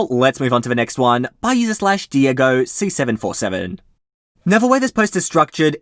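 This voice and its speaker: A man reads mystery posts aloud for his channel, his voice rising and falling with lots of movement while staying mid-pitched. He drops in casual asides between the facts. The sound is close and dry, with no room around it. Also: dry recording. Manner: lively storytelling delivery. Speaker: channel host reading posts.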